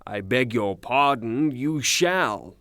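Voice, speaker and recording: A man's voice: mid-range voice, expressive, sharp tone, smooth, no echo, studio quality. Guy acting a rude mocking debt collector type, audio play, takes his time, clean resonant voice. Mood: stubborn, insistent. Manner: mocking, brusque, deliberate. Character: blunt, hot-tempered, scornful male creditor. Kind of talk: theatrical audio drama acting.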